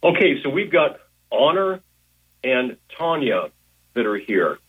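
A man's voice: mid-range voice, very animated, takes it slowly, slightly rough, narrow, tinny audio. A man hosting a radio talk show from a hotel room, chatting casually with lots of fillers. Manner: friendly, rambling with fillers.

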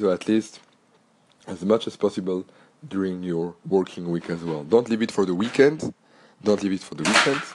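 A middle-aged man with a French accent, hoarse from a cold, hosting a solo podcast in an earnest, motivational way. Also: sits low, darkish tone. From Belgium. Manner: earnest, repetitive and motivational, with restarts. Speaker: podcast host.

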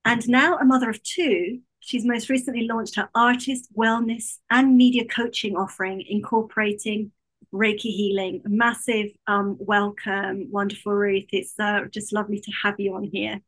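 A woman's voice: medium pitch, level tone, moderate pace, low-quality recording. A woman hosting a podcast interview, effusive and gushing as she introduces and responds to her guest. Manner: warm and effusive, with gushing praise. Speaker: podcast host.

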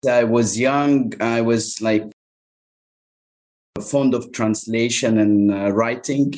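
A man from Egypt whose first language is Arabic, answering interview questions thoughtfully with many pauses and fillers. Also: medium pitch, fairly even intonation, darkish tone, poor audio. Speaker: localization agency owner, guest.

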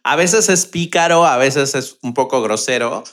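A man's voice: mid-range voice, moderate pace, a bit bright. A Mexican man with a Mexican accent, co-hosting a casual podcast chat and agreeing readily. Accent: Mexican Spanish. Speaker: co-host.

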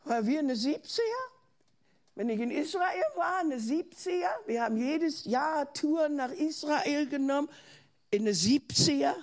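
A woman's voice: high-pitched, normal speed, darkish tone. An older German woman preaching a sermon in an earnest way, often asking her listeners questions and breaking off to restart words.

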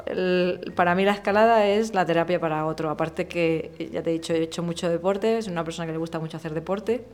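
A woman in her thirties from Murcia with a softened Murcian accent, a guest talking candidly and casually in an interview, full of slang and fillers. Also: lowish voice. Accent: softened Murcian Spanish. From Murcia, Spain. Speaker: podcast guest, illustrator.

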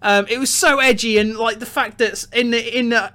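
A man with a bright, keen-edged voice chats as co-host of a casual review podcast. He thinks aloud, often restarting and repeating words before finishing a point. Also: extremely high pitch, steady tone, fairly quick. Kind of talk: casual film review discussion.

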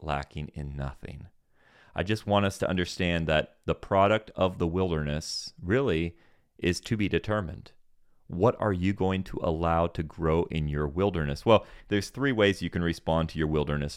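A man's voice: sits low, no room sound, studio quality. A man who grew up in Senegal, giving an earnest sermon-style Bible teaching that repeats phrases and rises for emphasis.

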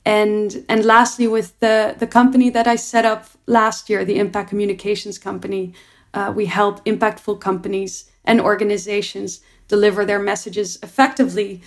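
A woman's voice: mid-range voice, flat delivery, moderate pace. A young adult woman, a producer and entrepreneur, answering interview questions in an earnest, reflective way with frequent restarts.

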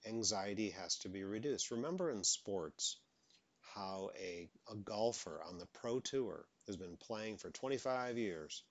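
A man's voice: on the low side, a bit bright, dry recording. A man who stutters openly, giving motivational advice as a stuttering coach. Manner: stutters openly, repeats words.

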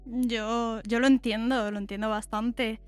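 A woman co-hosting a podcast, chatting in a relaxed way about her own past. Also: on the high side, unhurried, dry recording, faint music behind. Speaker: podcast co-host. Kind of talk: casual interview chat.

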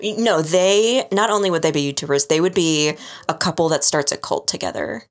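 A woman's voice: sits low, normal speed. A woman co-hosting a casual book-club podcast, laughing and reading quotes out with dry mockery. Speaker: podcast co-host.

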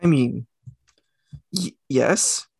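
A man's voice: medium pitch, drawn-out words, vocal fry, darkish tone, slightly rough, no room sound, studio quality. A young man co-hosting a casual podcast, rambling and correcting himself as he talks. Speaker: podcast co-host. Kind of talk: casual chat.

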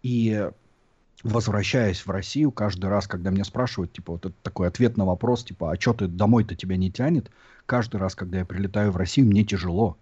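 A man's voice: fairly low pitch, fairly quick, mellow, slightly rough. A Russian-born man who lives abroad and hosts a YouTube channel, reflecting and explaining at length in a casual chat. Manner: reflective, explanatory, many fillers. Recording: dull lo-fi sound.

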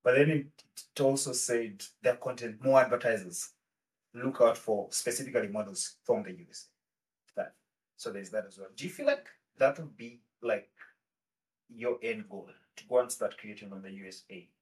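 A man's voice: mid-range voice, normal speed. A man hosting a podcast who asks loose, hesitant questions full of fillers. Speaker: podcast host. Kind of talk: casual interview. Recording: distant from the mic.

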